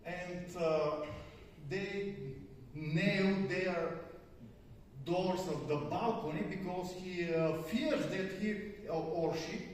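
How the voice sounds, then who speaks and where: Bulgarian-accented male speaker, slow and halting with lots of fillers, mic far off in an echoey conference hall. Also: high-pitched, a little hiss or hum. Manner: halting, many fillers and stutters. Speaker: psychotherapist speaker. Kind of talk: conference talk. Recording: distant, echoey hall recording.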